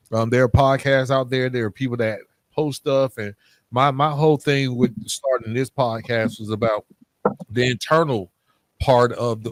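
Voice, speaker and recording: A man's voice: medium pitch, dry recording. A man hosting a podcast in an earnest, informal conversational way, peppering his talk with casual asides. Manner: earnest, informal, often saying "man" and "you know". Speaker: podcast host.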